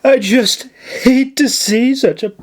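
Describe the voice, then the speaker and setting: A man's voice: very high voice, takes it slowly, pitched above the performer's own voice, heavily acted. A man voicing a scheming young woman mage in an audiobook, putting on a weepy, breathless, pleading manner. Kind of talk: audiobook character voice.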